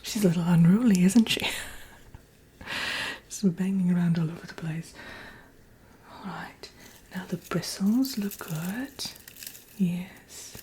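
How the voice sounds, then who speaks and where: A woman voicing a vain, sly wicked witch in a breathy, whispered ASMR roleplay, chatting coyly as if with an old friend. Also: lowish voice, warm tone, a little hiss or hum. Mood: appraising, soft. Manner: theatrical, coyly boastful, doting, slow and murmuring.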